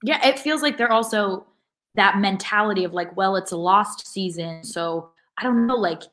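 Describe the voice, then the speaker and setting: A woman with a medium-pitched voice talks sports as a podcast co-host. Her delivery is casual and conversational, heavily dotted with fillers. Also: moderate pace, fairly bright tone.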